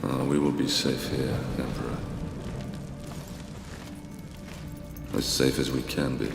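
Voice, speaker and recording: An actor playing a grave, battle-hardened Roman soldier, narrating his story solemnly in a deep, gravelly voice. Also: flat delivery, heavily acted. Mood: grim. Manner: solemn, deliberate, restrained. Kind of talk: dramatic game narration. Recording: echoing hall with music.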